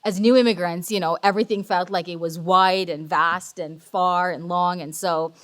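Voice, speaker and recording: A woman in her forties from Sri Lanka, speaking on a panel in a lively, chatty, informal way. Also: lowish voice, moderate pace. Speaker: panelist, tech company executive. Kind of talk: casual panel talk.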